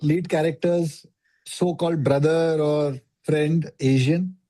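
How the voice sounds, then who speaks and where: A man's voice: on the high side, steady tone, slow pace, warm tone. A man speaks as the dissenting co-host in a casual, bantering review chat. His delivery is terse and contrarian, made of short conversational objections.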